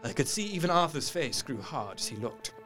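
Earnest male diarist, played by an actor, gothic audio drama narration: formal, intense, fairly high voice, light music underneath. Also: animated, brisk, sharp tone. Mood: grim, sombre. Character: earnest man recounting horrors from his journal.

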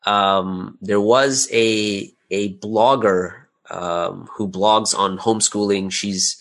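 A man with a fairly low voice answers questions in an interview. He talks casually and candidly, in a conversational, anecdotal style. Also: fairly even intonation, takes it slowly, fairly bright tone. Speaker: interview guest, audio drama creator.

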